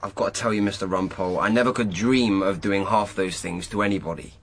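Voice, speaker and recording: A man's voice: deep voice, steady tone, fast. An actor playing an indignant English teenage boy in a radio drama, talking in a casual, blunt, slangy way. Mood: casual, defensive.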